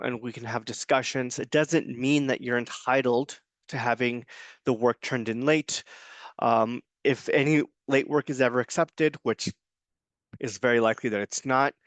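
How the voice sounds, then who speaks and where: A man recording an introduction to his online course. He talks informally, with frequent ums and restarts. His voice is smooth and clear, captured dry and close with no room sound. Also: medium pitch, dry recording. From United States. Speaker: adjunct Spanish professor.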